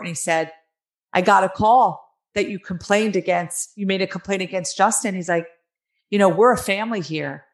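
Middle-aged woman guest, Jersey accent, raspy and nasal, low-ish voice, candid and emphatic. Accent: New Jersey. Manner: earnest, emphatic, with many fillers. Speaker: guest author. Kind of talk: candid interview storytelling.

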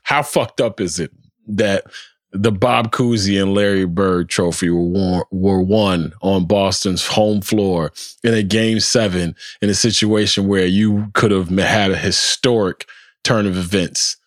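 A man hosting a podcast, talking sports in a casual, animated way, swearing freely and often repeating words. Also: deep voice, measured pace, no room sound, clean studio sound. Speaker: podcast host.

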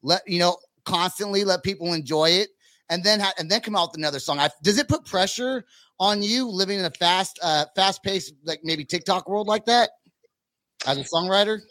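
High pitched dude hosting a podcast, bright voice, slangy casual chat, no room echo. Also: male voice, moderate pace. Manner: informal, slangy, swears. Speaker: podcast host.

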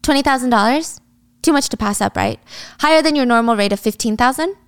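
A woman's voice: on the high side, a little fast, clear, resonant tone, dry recording, pitched above the performer's own voice, close to an everyday voice. Woman acting a defiant, angry young businesswoman. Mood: cold. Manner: confrontational, cutting.

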